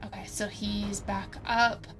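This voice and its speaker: A woman's voice: medium pitch, little pitch movement, slow, a bit bright, soft background music. A woman playing a tabletop roleplaying game, thinking aloud and casually narrating what her characters do.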